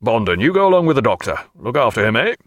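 A man reading a brisk hearty captain. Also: mid-range voice, sing-song, a bit bright, dry recording.

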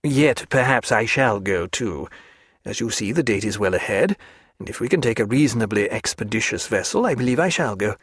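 A man's voice: fairly low pitch, animated, husky, dry recording, studio quality. A man voicing a reserved, earnest scholar. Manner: measured, formal, understated. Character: reserved, earnest male naturalist.

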